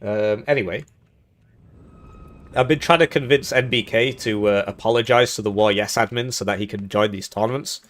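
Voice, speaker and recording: A man's voice: fairly low pitch, normal speed, fairly bright tone. A young man hosting a live game tournament stream, talking casually with jokes and chuckles while commentating the match. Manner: rambling, joking, chuckling between remarks. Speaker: tournament host and caster.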